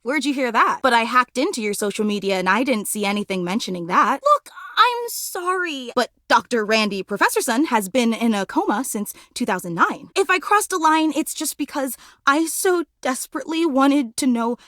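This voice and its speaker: A woman's voice: on the high side, big pitch swings, normal speed, few pauses, bright, forceful tone, no room sound, studio quality. A woman voicing an obsessive, lovestruck female superfan in a video game, theatrical, needy and gushing. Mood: defensive, hurt. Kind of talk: video game character acting.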